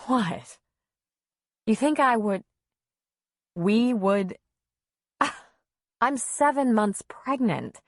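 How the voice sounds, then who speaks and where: A woman's voice: on the high side, lively intonation, drawn-out words, long pauses, no echo, voice pushed up, heavily acted. A woman narrator acts out a pregnant mother under attack in an audiobook drama. She is emotional and pleading, defiant yet frightened, in a dry, close sound. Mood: defensive.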